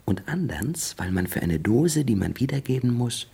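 An actor playing a cheeky young male servant in a 1960s radio comedy, with a husky, gravelly voice. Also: mid-range voice, very animated, moderate pace, warm tone, full character voice. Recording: old 1960s radio recording.

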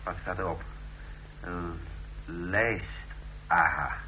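A man's voice: sits low, steady tone, extremely slow, sharp tone, full character voice. An actor playing a wary male test subject in a radio drama, thinking aloud in short muttered fragments. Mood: subdued, puzzled. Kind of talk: radio drama acting in Dutch. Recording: noisy old radio drama.

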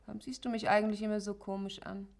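An actress playing an anxious, guilt-ridden village wife in her forties in a radio play, brooding and suspicious, with a husky, creaky voice. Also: medium pitch, flat delivery, fast, close to an everyday voice. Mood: curt, suspicious. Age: 40s. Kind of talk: German radio play acting.

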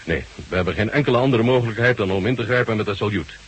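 An actor playing a jovial, shrewd Soviet marshal in a radio drama, with a husky voice and a bantering, courteous manner. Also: male voice, fairly low pitch, very fast. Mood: calm, explaining.

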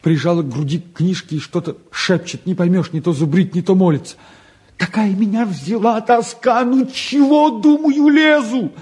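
An actor playing a restless, cheeky and candid teenage son in a 1950s Soviet radio play, talking in an impulsive, colloquial way. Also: male voice, sits high, moderate pace, a little mellow, slightly rough. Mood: wry, confessional.

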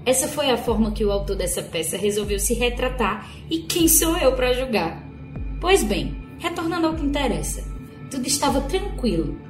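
A woman's voice: on the high side, sing-song, moderate pace, music in the background. A woman playing a folksy, gossipy clown who narrates a radio play theatrically. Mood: grand, playful.

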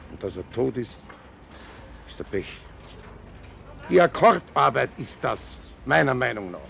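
A man's voice: medium pitch, sing-song, unhurried, gravelly, a bit bright, a little hiss or hum, heavily acted. An actor playing a humble, hesitant building foreman in a radio play, deferential and trailing off. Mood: cautious, placating. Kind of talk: naturalistic radio play acting.

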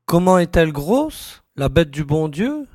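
A man's voice: on the high side, very animated, measured pace, a little mellow, no echo, studio quality. A man playing a keen, self-assured young reporter turned sleuth, emphatic and declarative in an audiobook drama. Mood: intrigued. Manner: emphatic, repeating words, declarative.

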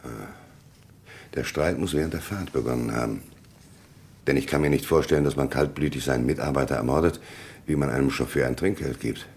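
A man acting a probing, deliberate police investigator in a German radio crime drama, with a gravelly, husky voice and pointed questions. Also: a bass voice, a little mellow, full character voice. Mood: pointed, reasoning. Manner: questioning, hesitant pauses, then pointed.

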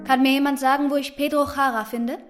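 An actress as a young woman in a radio drama. Her voice is high-pitched. She speaks politely and keeps a reserved, guarded manner. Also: little pitch movement, fast, faint music behind, natural voice. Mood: inquiring. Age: young adult. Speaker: actor in a radio play.

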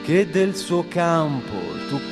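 Male performer as a grieving, accusing mourner: high voice, drawn-out verse phrasing, clear musical accompaniment. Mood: mournful, accusing. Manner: slow, measured, verse-like phrasing. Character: grieving bereaved person confronting a killer. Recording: prominent music under the voice. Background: live musical accompaniment.

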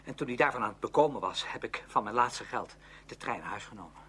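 An actor playing a headstrong, outspoken young music student in a Dutch radio play, speaking emphatically and with defiance. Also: male voice, medium pitch, expressive, fast, pushed and bright, breathy edge, heavily acted. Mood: agitated, defiant. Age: young adult. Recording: noisy old radio drama recording.

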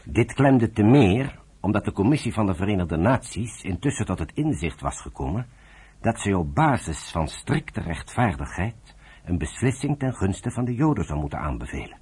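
A man with a rough, husky voice narrating a radio drama from a script. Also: lowish voice, very animated, normal speed, a little mellow.

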